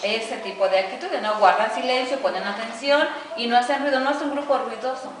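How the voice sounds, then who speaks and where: A woman's voice: on the high side, a little fast, a crowd in the background. A woman observing a class and interviewing the teacher, attentive and affirming. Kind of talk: interview questions and feedback. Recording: echoey room, distant mic, noisy background.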